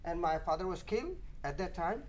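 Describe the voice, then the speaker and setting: A man's voice: high voice, animated, a little fast. Man, earnest activist guest, emphatic listing, studio interview, slight noise underneath. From Arakan, Burma. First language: Rohingya. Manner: earnest, emphatic, piles up lists and repeats points. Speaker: guest, human rights activist.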